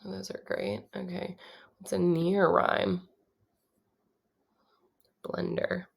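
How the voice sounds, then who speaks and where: A young woman with a low, slightly gravelly voice talks slowly through her songwriting process. She thinks aloud with lots of pauses and fillers, casual and unhurried. Faint instrument music plays underneath. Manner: relaxed, thinking aloud with many ums.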